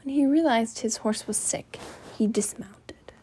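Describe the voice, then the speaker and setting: Woman student storyteller, kinda high voice, takes her time reading the tale. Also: a little slow, dark tone, slightly rough. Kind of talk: scripted story narration, readers theater.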